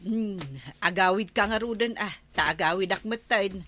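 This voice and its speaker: A woman's voice: medium pitch, normal speed, sharp tone, no echo, close to an everyday voice. A woman playing a nosy, fretful village neighbour in an Ilocano comedy drama, speaking with an Ilocano accent. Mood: chatty, probing. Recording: clearly noisy with clicks.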